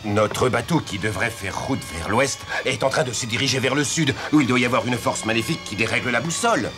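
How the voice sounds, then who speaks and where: Brief: male actor as worried, superstitious ship's officer, mid-range voice, urgent animated-series delivery, music behind. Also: medium pitch, a little fast, close to an everyday voice. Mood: alarmed, worried. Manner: urgent, foreboding. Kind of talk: French animated series dubbing.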